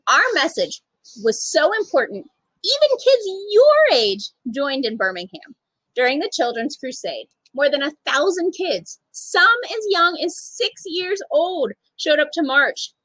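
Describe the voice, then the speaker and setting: A woman's voice: sits high, very animated, unhurried, a bit bright, no echo, rough recording. A woman reading a children's picture book aloud expressively as a storytime reader. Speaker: storytime reader, literacy program manager.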